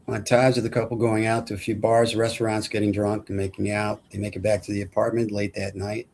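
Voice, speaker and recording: A man's voice: lowish voice, level tone, brisk, a little mellow, a little hiss or hum. A man narrating stage directions at a film script table read in a plain, matter-of-fact way.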